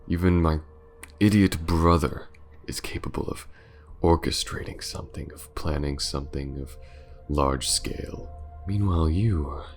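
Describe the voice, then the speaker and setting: An aristocratic male vampire with an extremely low, gravel-edged voice. He drawls slowly and pompously, sinking into breathy whispers as he smugly taunts his prey. It is an intimate, sinister ASMR roleplay over faint music. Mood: mocking.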